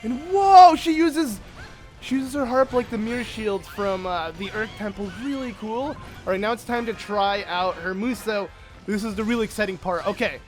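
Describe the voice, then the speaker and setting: A man who is sick, with a slightly hoarse voice, giving excitable, rambling gameplay commentary full of fillers as a let's play host. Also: high-pitched, normal speed, soft background music.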